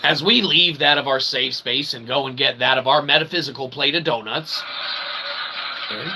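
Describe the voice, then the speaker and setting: A man's voice: mid-range voice, moderate pace. A man hosting a live stream, rambling through a comic mock-sermon in wordy, pseudo-formal phrases with folksy check-ins. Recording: clearly noisy background.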